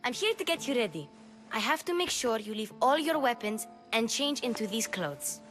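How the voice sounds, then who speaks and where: A young girl helper in a video game with a high voice. She sounds earnest and reassuring, a little bossy but caring, with soft music underneath. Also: sing-song, normal speed. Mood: brisk, reassuring. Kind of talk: video game cutscene acting.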